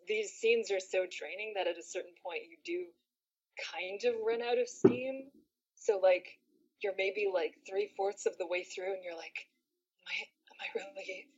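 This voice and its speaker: A woman voice actor chatting earnestly about the work, circling her thoughts with plenty of "like". Her voice sits in a middle range. The sound is somewhat dull and muffled. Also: medium pitch. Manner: earnest, rambling, lots of "like". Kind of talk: casual behind-the-scenes chat.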